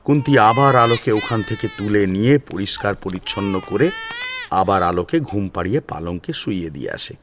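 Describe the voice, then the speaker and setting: A man narrating a story as a dramatic audio storyteller, in an expressive, suspenseful manner. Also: on the low side, moderate pace, noisy background.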